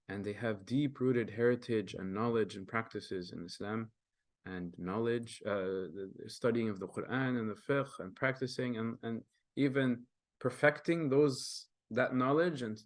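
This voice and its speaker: A young man with a somewhat low voice, a native Arabic speaker from Kenya, walks through his creative work in an earnest, casual manner. He stops and restarts often and fills gaps with ums. The sound is dry and close, as on a video call. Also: no room sound. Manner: earnest, with frequent fillers and restarts. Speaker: guest artist presenting his work. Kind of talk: casual presentation.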